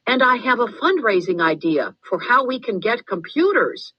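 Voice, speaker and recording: A woman's voice: fairly high pitch, very animated, normal speed, slightly rough, lo-fi sound, voice pushed up. A woman voicing an earnest, determined young girl giving a campaign speech in a storybook read-aloud. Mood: earnest, pleading. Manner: declamatory, determined, pleading.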